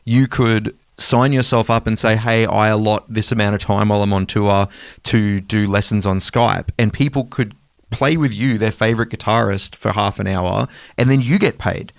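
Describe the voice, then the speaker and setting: Low-voiced man in casual podcast chat. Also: normal speed, creaky, dry recording, studio quality. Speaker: podcast co-host.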